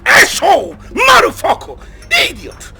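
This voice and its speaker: Raging, cursing village thug. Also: male voice, extremely high pitch, big pitch swings, moderate pace, creaky, over loud music, exaggerated voice. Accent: Nigerian. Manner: shouting, cursing, threatening. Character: hot-tempered self-proclaimed bad guy in a village, man. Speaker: village bully. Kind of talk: film acting.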